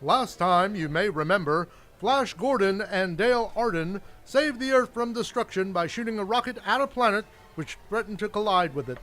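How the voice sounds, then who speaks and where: A man's voice: high voice, expressive, moderate pace, few pauses, a bit bright. A man narrating an adventure radio serial in a dramatic, theatrical storytelling style.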